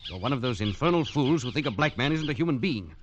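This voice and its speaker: A man's voice: mid-range voice, quick pace, dull audio, a little hiss or hum, natural voice. A man playing a stern expedition leader. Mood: angry. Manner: firm, scolding, lively intonation. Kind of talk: 1940s radio acting.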